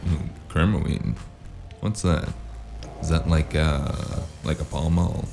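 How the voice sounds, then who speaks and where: Very deep, gravelly noir loner. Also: male voice, fairly even intonation, takes it slowly, mellow, faint music behind, heavily acted. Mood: casual. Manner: wry, laconic, brooding. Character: world-weary male noir hero, terse and wry. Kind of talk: noir first-person narration and dialogue.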